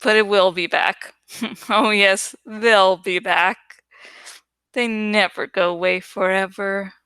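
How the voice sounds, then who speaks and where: Woman acting a shabby madwoman, sly, eerily repetitive, creaky, slow, storybook character. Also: medium pitch, steady tone, pushed and bright, no room sound. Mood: sly, crafty. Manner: crafty, precise, repetitive.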